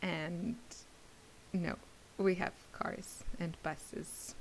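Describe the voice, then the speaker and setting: A woman's voice: fairly low pitch, little pitch movement, very slow, slight background noise, poor audio. A woman crafter chatting casually to her viewers in a rambling, giggly way. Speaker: craft video creator and class teacher. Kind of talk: casual rambling chit-chat to camera.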